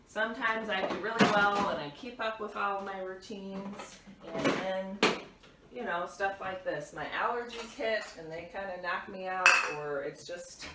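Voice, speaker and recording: A middle-aged woman chatting casually and off the cuff as she moves around a home kitchen doing chores, like a vlogger. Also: medium pitch. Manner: unscripted, talks while moving about doing chores. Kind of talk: casual chat while tidying. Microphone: camera a few meters away. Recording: roomy, distant mic, clattering dish noise. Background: dishes clattering, clicks.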